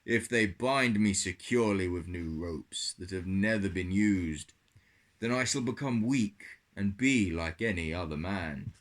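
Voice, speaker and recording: Boastful biblical strongman acted by a man, measured and declamatory, slow delivery for a puppet tale. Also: sits low. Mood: sly, measured. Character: boastful strongman Samson of Bible puppet story.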